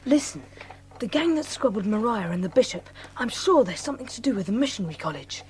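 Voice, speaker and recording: A woman playing a bold, earnest schoolboy adventurer in a children's audio drama, brisk and full of old-fashioned slang. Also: medium pitch, expressive, darkish tone, lightly acted. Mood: hushed, secretive. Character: bold, eager, adventurous schoolboy leading his friend.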